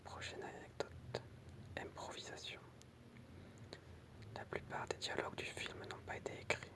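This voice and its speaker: A person whispering in an ASMR style, reading out short pieces in a soft, explanatory way with casual asides. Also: unhurried. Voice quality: unvoiced, breathy whisper. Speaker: ASMR creator reading film trivia with commentary.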